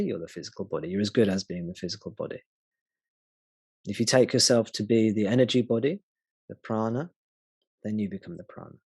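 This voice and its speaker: A man's voice: lowish voice, slightly rough, no echo. A man leading a satsang, explaining spiritual teachings patiently and thinking aloud as he goes.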